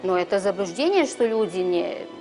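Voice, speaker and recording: A middle-aged teacher speaking in a classroom interview, deliberate and pausing to search for words. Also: female voice, medium pitch, lively intonation, over music. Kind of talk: interview answer. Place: classroom, indoors.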